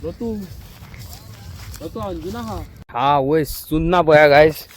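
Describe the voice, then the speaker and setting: A man's voice: on the high side, animated, slow. A man from Goa with a Goan accent, vlogging casually to the camera. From Goa, India. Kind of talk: casual vlog chat to camera.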